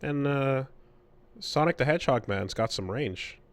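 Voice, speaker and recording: A man's voice: medium pitch, expressive, unhurried. A man hosting a podcast in casual conversation, thinking aloud and rephrasing as he goes. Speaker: podcast host.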